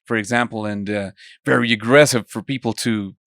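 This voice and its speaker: A man's voice: fairly low pitch, normal speed, fairly bright tone, dry recording, studio quality. A young man from Colombia teaching an online songwriting lesson, chatty and full of questions for the listener. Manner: conversational, asks students many questions. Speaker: songwriting instructor.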